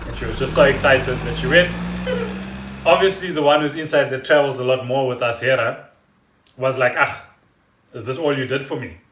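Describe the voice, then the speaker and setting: A man chats casually as the co-host of a home podcast. His voice sits at an average male pitch. It was picked up some way from the mic, with plenty of room echo around it. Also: background noise. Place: indoors at home. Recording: roomy, distant from the mic.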